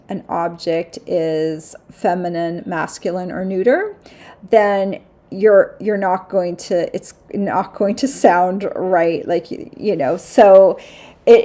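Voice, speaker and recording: A woman's voice: lowish voice, dark tone. A middle-aged woman hosting a crafting livestream, chatting casually with viewers in a rambling way, with many restarts and fillers. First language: German.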